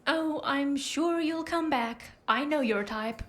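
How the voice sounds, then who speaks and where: A woman playing a composed, knowing doctor in a noir audio drama, speaking in a measured, insinuating way. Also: sits high. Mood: knowing, teasing. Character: knowing, composed female doctor with a teasing edge.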